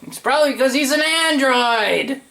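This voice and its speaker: A man's voice: extremely high pitch, normal speed, vocal fry, pushed and bright. A man hosting a gaming video, giving casual reaction commentary in an opinionated, colloquial way.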